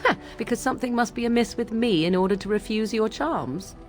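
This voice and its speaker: An actress playing a proud, sharp-tongued young witch in a video game, with haughty, dramatic, old-fashioned speech. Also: on the high side, soft background music, lightly acted. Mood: guarded, irritated. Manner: haughty, dramatic, halting under emotion. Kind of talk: video game character acting.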